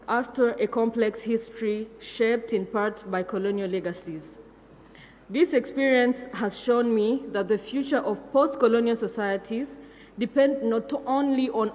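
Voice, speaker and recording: A woman's voice: medium pitch, a little mellow, long reverb tail. Young woman from Rwanda giving a speech. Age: young adult. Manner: reads from a script with fillers and self-corrections. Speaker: conference speaker. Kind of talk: prepared conference speech.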